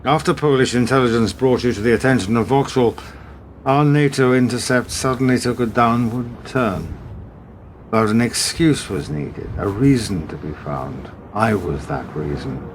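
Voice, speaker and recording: An actor in a radio play, playing a calm, coldly measured British spy who confronts a traitor colleague in a composed, deliberate way. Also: male voice, mid-range voice, a little hiss or hum, natural voice. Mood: cold, accusing.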